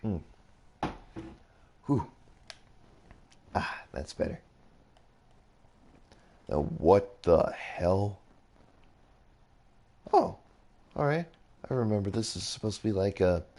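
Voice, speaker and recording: A young man casually rambling through a game tour as a streamer, his voice a little raspy, often reacting with surprise. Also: sits low, mellow. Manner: rambling, easily impressed, mild swearing. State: slightly raspy voice. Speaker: gaming streamer. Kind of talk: casual game commentary while touring builds.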